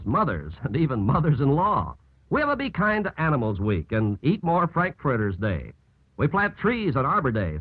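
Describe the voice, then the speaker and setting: A man's voice: on the high side, breathy edge, no echo. A man with an American accent reading scripted narration as a mid-century radio announcer. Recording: dry, narrow old radio broadcast.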